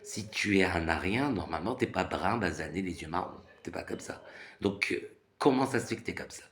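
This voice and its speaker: A man's voice: low-pitched, lively intonation, fairly quick, fairly bright tone. A man in his thirties from France, ranting casually in a rambling monologue full of fillers and repeated phrases. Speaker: vlogger.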